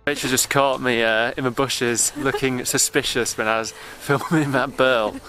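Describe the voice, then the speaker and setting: A man's voice: mid-range voice, fairly even intonation, normal speed, music in the background, rough recording. A man in his thirties with an English accent, chatting casually and enthusiastically in a rambling vlog style. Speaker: vlogger. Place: outdoors on country lanes, or inside a parked car. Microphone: handheld camera at arm's length. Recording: background noise outdoors and in car.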